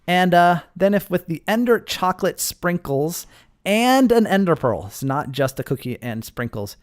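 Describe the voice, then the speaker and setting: Male game showcase host, higher-pitched, very lively intonation, casual and explanatory. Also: normal speed, no echo, clean studio sound. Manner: explanatory, addressing viewers as guys. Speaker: host of a Minecraft mod showcase. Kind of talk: casual gameplay commentary.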